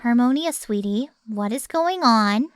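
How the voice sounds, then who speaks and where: A woman's voice: on the high side, animated, unhurried, clear, resonant tone, dry recording, soft background music. A woman playing a stern but loving cartoon pony mother, with a dry, incredulous, scolding manner. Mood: suspicious. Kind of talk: animated fan audio drama acting.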